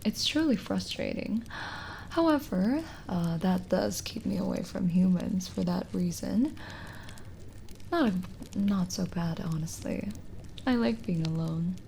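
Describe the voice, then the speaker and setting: A kindly, lonely witch voiced by a woman talks intimately to the listener in an ASMR roleplay. Her voice is soft, a little low and creaky, often dipping toward a whisper. She is gently teasing and chatty, over audible background noise. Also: lively intonation. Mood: earnest, wistful. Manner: slow, hesitant, gently teasing.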